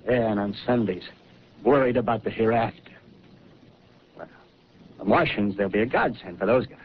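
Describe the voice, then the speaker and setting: An actor with an American accent playing a wild-eyed, scheming survivalist militiaman, talking rough and fervently in 1930s radio drama style. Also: fairly low pitch, normal speed, lightly acted. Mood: fervent, scornful. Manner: rough colloquial talk, fervent, ranting. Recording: noisy, muffled 1938 radio broadcast recording.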